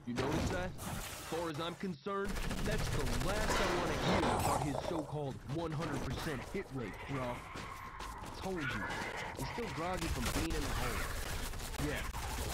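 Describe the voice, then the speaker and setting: A man's voice: fairly high pitch, heavy background noise, pitched above the performer's own voice, natural voice. A man voicing an angry, suspicious male quarantine inmate in an audiobook, blunt and threatening. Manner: accusing, blunt, confrontational.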